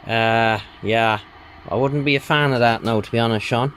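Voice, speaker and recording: An Irish man talking casually through a repair job, thinking aloud in an unhurried, colloquial way. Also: medium pitch, low-quality recording. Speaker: radio repair technician. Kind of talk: casual spoken bench walkthrough. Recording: steady background noise, bench clicks.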